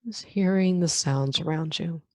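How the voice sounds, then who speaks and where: Slow, low-pitched woman guiding meditation. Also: dry recording, clean studio sound. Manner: calm, unhurried, with long pauses between phrases. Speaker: meditation guide, yoga nidra teacher. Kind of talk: guided meditation, body scan.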